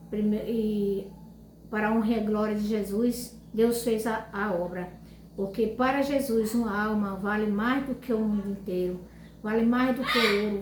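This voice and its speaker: An older woman giving a religious testimony, speaking slowly and fervently, with pauses and repetitions. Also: mid-range voice. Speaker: lay preacher giving testimony. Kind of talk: informal religious testimony and Bible reading. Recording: echoey room, faint ambient noise.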